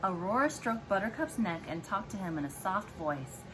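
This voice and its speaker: A woman's voice: mid-range voice, normal speed, music in the background, low-quality recording. A woman, a mother, reading a children's storybook aloud expressively to her child. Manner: expressive, acts out dialogue and explains to child.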